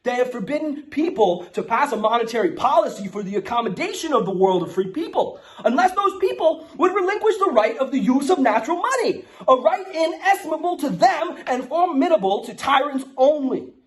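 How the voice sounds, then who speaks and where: A man's voice: very high-pitched, sing-song, fairly quick, hardly any pauses. Man playing a brash Bronx guy, New York accent. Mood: mock-solemn, declaiming. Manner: brash, streetwise, swearing. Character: Jimmy Da'Bronx, brash foul-mouthed Bronx guy. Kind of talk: casual ranting and mock-solemn declaration reading.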